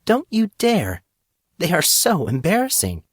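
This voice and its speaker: A man voicing an earnest, embarrassed teenage schoolgirl in audiobook dialogue, sometimes halting. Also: high voice, wildly swooping pitch, no room sound, clean studio sound, voice pushed up, exaggerated voice. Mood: playfully sharp. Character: remorseful, embarrassed teenage schoolgirl who loves singing.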